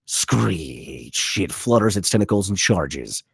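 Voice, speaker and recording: A man's voice: deep voice, sing-song, takes it slowly, creaky, husky, dry recording, clean studio sound. A man narrating stage directions at a script table read, with vivid, punchy dramatic delivery. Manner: vivid, punchy reading of action.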